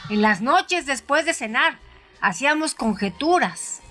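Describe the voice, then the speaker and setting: A woman narrating a story in the first person in a conversational, dramatized style, with hesitant pauses. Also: high voice, expressive, bright, forceful tone. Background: music.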